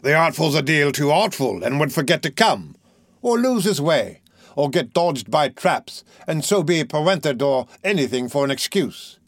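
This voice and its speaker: A man voicing a gruff, brutal adult male burglar in a storybook reading, growling and surly. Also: a little high, animated, normal speed, dry recording, studio quality.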